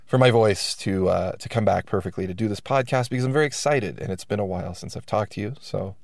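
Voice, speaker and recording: A man's voice: fairly low pitch, fast, gravelly, darkish tone, no echo, clean studio sound. Stuffy-nosed man rambling wryly. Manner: wry, self-deprecating, many restarts and fillers. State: stuffed up from allergies. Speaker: podcast host. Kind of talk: casual rambling monologue.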